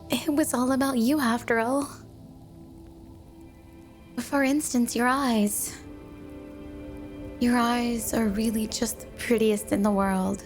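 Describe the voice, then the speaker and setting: A woman voicing a sweet, obsessively devoted yandere snow woman wife in a flirty, doting ASMR roleplay spoken to the listener. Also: fairly high pitch. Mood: adoring, sweet. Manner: sugary, doting, flirty, clingy. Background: music.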